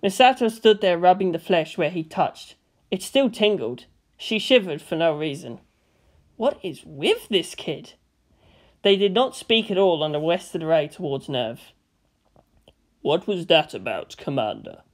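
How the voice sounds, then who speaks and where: A woman's voice: lowish voice, animated, measured pace. A woman reading scripted fan fiction narration as an audiobook narrator.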